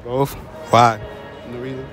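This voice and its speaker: Late-teen male street interviewer, easygoing slang, mid-pitched voice, music playing loud underneath. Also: flat delivery, measured pace. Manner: laid-back slang, repeats short affirmations. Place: indoor shopping mall. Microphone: handheld mic.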